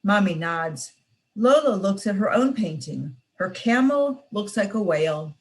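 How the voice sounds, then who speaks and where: Expressive young woman reading a storybook. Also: mid-range voice, a little slow, a little hiss or hum. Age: young adult. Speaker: student reader. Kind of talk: reading a children's picture book aloud.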